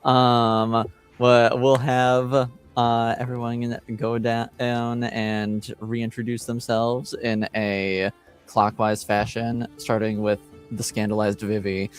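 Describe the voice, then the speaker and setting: A man's voice: mid-range voice, flat delivery, takes it slowly, clean tone, faint music behind. A man hosting a tabletop game livestream as game master, chatting casually.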